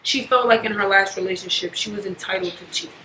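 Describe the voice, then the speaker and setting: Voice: mid-range voice, fairly even intonation. A podcast host in their twenties who leads the discussion and keeps asking the others questions in a casual chat. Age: 20s. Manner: fast-talking, leads the questions. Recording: roomy echo with faint background hiss.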